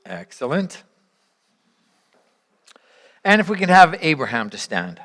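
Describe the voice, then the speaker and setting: A woman speaking earnestly and in measured phrases as she leads a church service, pausing and posing reflective questions to the congregation. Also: sits low, very animated, a bit bright, slight background noise. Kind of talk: sermon, reflecting on a parable and addressing the congregation.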